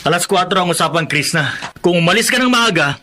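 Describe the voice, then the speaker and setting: A man's voice: high-pitched, lively intonation, fast, bright, forceful tone, a little hiss or hum. A man playing a stern older brother. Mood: scolding. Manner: reproachful.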